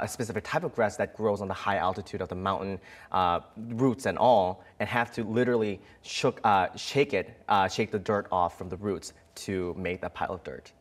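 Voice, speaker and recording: A man reporting in a news discussion, explaining in a measured way and sometimes rephrasing mid-sentence. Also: fairly low pitch.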